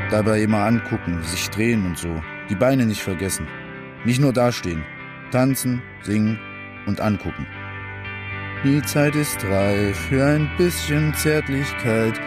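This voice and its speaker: Male actor as working-class young man, low-pitched, colloquial, clipped phrasing, radio play monologue, guitar music behind. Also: natural voice. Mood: softly singing. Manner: colloquial, clipped short sentences. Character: rough working-class young man recalling a tender disco encounter.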